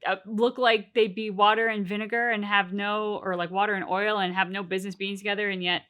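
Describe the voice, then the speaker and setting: A woman co-hosting a game reaction video, talking in an animated, rambling way with many restarts. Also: mid-range voice, a little fast, clean tone. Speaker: co-host of a game reaction channel. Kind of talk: casual reaction chat.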